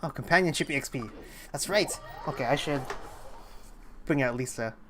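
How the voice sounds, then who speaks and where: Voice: medium pitch, moderate pace, a bit bright, slight background noise. A game streamer giving casual live commentary, rambling and often breaking off mid-thought while thinking aloud. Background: game music.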